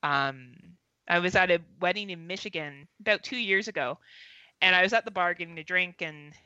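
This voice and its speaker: A woman's voice: fairly low pitch, moderate pace, pushed and bright, dry recording, dull audio. A woman from Ontario with a Canadian accent, chatting casually as a podcast guest and laughing often. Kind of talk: casual chat.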